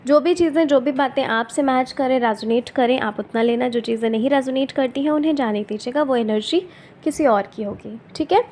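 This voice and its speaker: Woman, high voice, sore throat, soft low volume, gentle reassuring tarot reader. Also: brisk. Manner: gentle, reassuring, often checks in with "ठीक है?". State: sore throat, unwell, speaking softly. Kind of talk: tarot reading for viewers.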